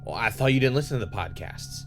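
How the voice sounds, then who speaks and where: A man's voice: medium pitch, expressive, fast talker, soft background music, close to an everyday voice. A man playing a distraught, agitated man who feels manipulated, in an audio drama. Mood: agitated, accusing. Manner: agitated, pleading.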